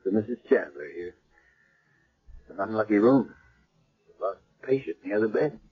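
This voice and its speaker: An actor playing a gruff but kindly, world-weary senior doctor in a 1970s radio drama, offhand and dryly clinical. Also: male voice, fairly low pitch, lively intonation, normal speed. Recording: dull lo-fi old radio broadcast.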